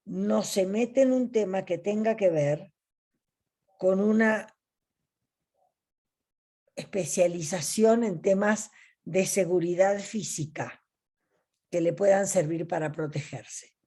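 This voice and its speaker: Slow, mellow Argentine woman teaching. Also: mid-range voice. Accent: Argentine Spanish. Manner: slow, deliberate teaching with pauses. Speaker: coaching ethics facilitator. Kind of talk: online ethics class.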